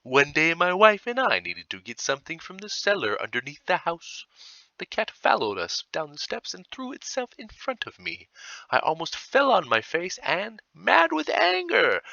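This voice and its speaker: A man reading a horror story as a tormented murderer's confession, in a theatrical, heavily emphatic manner with dramatic pauses. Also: medium pitch, pushed and bright, no room sound, lo-fi sound. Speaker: storybook reader. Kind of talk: dramatic first-person narration of a horror story.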